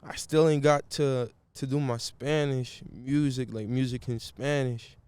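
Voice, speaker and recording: Guy chatting easy, smooth clean voice, slangy, lots of "you feel me". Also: mid-range voice, fairly even intonation, a little slow, a little mellow, no echo. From Brazil. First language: Portuguese. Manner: laid-back slangy talk, keeps saying "you feel me". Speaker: guest musician. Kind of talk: casual interview chat.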